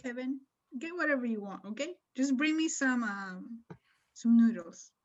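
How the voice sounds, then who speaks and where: A woman's voice: a little high, expressive, moderate pace, highs cut off. A woman playing an exasperated wife who pretends to be a space captain, bickering insistently in an improvised comedy sketch. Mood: dismissive.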